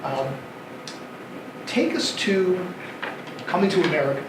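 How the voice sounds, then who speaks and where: A man's voice: high voice, sing-song, measured pace. A man hosting a live interview in front of an audience, enthusiastic and given to hesitant restarts. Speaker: interviewer, event host. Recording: distant, echoey, noisy room.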